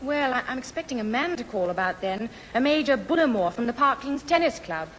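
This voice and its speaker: A highish, animated young woman's voice from an actress, prim and easily outraged. She plays a bossy big sister in an old radio sitcom, heard in a slightly reverberant hall. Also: a little fast, a little hiss or hum, natural voice. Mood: earnest.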